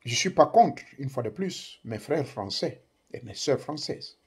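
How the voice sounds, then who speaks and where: A man's voice: medium pitch, lively intonation, unhurried, a little mellow, breathy edge. A man whose first language is Ngomienne, speaking French in an emphatic, declamatory political address to young listeners. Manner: emphatic, declamatory, rising bursts on key words. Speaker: commentator addressing African youth. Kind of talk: impassioned political address to camera.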